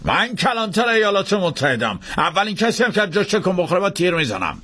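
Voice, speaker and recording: A male narrator performs a threatening lawman in a storybook. He barks orders sternly, his pitch swinging widely, in a close, dry recording. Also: big pitch swings, fairly bright tone, slightly rough, no echo. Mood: shouting, threatening. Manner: barking orders. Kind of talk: dramatised audiobook character.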